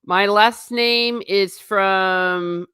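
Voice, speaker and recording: A woman's voice: mid-range voice, steady tone, extremely slow, bright, forceful tone, clear, resonant tone, no room sound, studio quality. A woman hosting a casual livestream, reading out chat comments and answering them in a chatty, wry and tangential way, often restarting her sentences. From United States.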